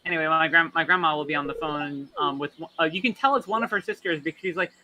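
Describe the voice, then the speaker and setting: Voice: medium pitch, fast talker, crowd noise, rough recording. A co-streamer talking candidly during a casual gaming chat, hesitant and full of ums. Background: game music.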